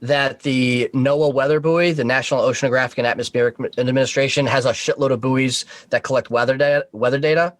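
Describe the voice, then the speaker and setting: A man's voice: mid-range voice, steady tone, brisk, hardly any pauses, dry recording. An American man telling anecdotes casually as a podcast guest, swearing and joking along the way. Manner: profane, joking. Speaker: podcast guest, Coast Guard veteran.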